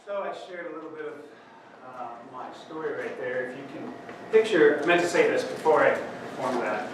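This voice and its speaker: A man from Colorado speaks slowly to a conference crowd, dramatic and shifting between loud and soft. His voice sits a bit high. It is heard from a distance in a heavily echoing hall, with a steady hiss of noise under it. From Denver, Colorado. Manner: dramatic, slow, swings loud and soft, bursts into song. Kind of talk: spoken word poetry and talk. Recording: very echoey hall, from far off, noisy.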